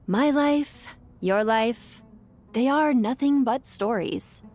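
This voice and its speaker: An ancient, wise female being of the desert in a video game. Her voice sits a little high, with formal, measured phrasing and a haughty, imperious air. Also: a little mellow, faint music behind. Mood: knowing, approving.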